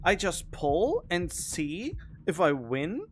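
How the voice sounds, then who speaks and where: A man's voice: sits high, wildly swooping pitch, takes it slowly, breathy edge. Rambling gaming streamer, man. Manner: rambling, thinking aloud, wondering questions. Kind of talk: casual gaming commentary.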